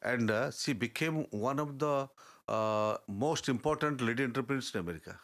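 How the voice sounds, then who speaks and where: An older man, a guest telling stories in a halting, earnest way, often pausing to search for words. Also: medium pitch, a bit bright. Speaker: interview guest. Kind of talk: reflective storytelling in conversation.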